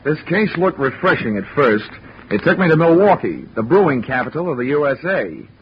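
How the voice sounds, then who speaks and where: A man's voice: fairly high pitch, sing-song, a little fast, a little hiss or hum. An actor playing a wisecracking male insurance investigator, narrating in a dry first-person 1940s radio drama style. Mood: wry. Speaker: lead actor. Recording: dull old radio recording with music.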